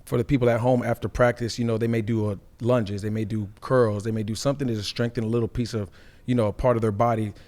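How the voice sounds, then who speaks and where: Fast-talking man with a level delivery. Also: medium pitch, steady tone, darkish tone. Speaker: sports show co-host and former pro football player. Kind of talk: sports analysis and conversational questioning.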